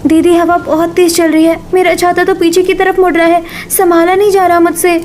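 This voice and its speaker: A woman's voice: extremely high pitch, level tone, a little fast, darkish tone, a little hiss or hum. A woman voicing the women of a family cartoon story, with a plaintive, pleading delivery. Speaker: voice artist for all women figures. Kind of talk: cartoon dubbing of family drama.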